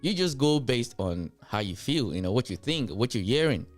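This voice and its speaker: A man's voice: medium pitch, sing-song, moderate pace, soft background music. A man with a Nigerian accent hosting a commentary show, talking straight to his viewers, emphatic and repeating his points to drive them home. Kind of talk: reaction commentary, addressing viewers.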